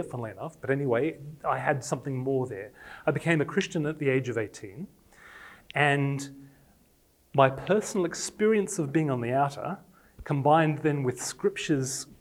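A man in his forties from Australia, with an accent mixing Australian and English traces, preaching a personal sermon with animated, self-deprecating storytelling. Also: on the high side. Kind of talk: personal sermon talk to a congregation.

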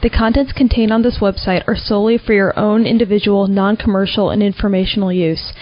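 A woman's voice: medium pitch, steady tone, a little fast, no echo, lo-fi sound. A woman announcer reading out a copyright notice in a scripted way.

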